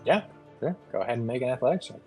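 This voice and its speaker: A man narrating a tabletop game casually as game master, often pausing to search for his words. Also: medium pitch, animated, mellow, husky. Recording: faint music underneath. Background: faint music.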